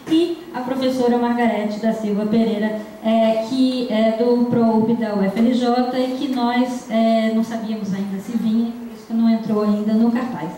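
A middle-aged woman moderates an academic event in an informal way. Her voice is fairly high and soft-toned. It rings heavily around the room, picked up from a distance. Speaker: event moderator introducing speakers. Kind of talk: informal spoken introduction at a conference. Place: large dim seminar room. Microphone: handheld microphone into room speakers, recorded by distant camera. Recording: echoey room, distant mic, background noise.

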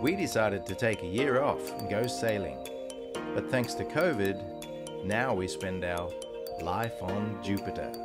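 A man's voice: on the low side, a little slow, over loud music. A man in his forties talking casually to camera as a sailing vlogger on a boat.

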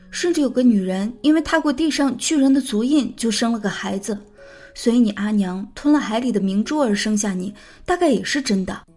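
A woman acting a spirited, impulsive young heroine in an expressive, girlish manner for a Chinese audiobook drama. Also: a little high.

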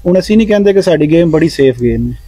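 A man's voice: on the high side, animated, rapid-fire, dark tone, no room sound. A man, a stone-lifting champion and coach, answering interview questions earnestly, recorded outdoors on a lawn at night. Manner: earnest, peppers speech with "theek hai".

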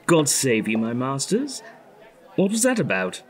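A man playing a wry, gossiping court gentleman with a knowing manner in a historical comedy audio drama. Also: mid-range voice, huge pitch swings, unhurried, a faint crowd. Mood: curious.

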